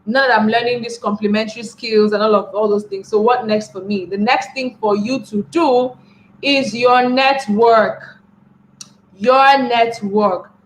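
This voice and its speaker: A woman's voice: on the high side, fairly even intonation, measured pace. A young Nigerian woman giving a motivational webinar talk, repeating key phrases and checking in with her listeners by asking "right?". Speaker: webinar speaker. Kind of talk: motivational talk to an online class. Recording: echoey room with faint background noise.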